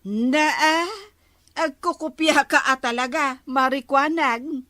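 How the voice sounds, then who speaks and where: A woman's voice: high voice, slow, no room sound, full character voice. A woman playing a chatty, teasing village neighbour in an Ilocano comedy drama, full of exclamations, with an Ilocano accent. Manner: animated, given to exclamations.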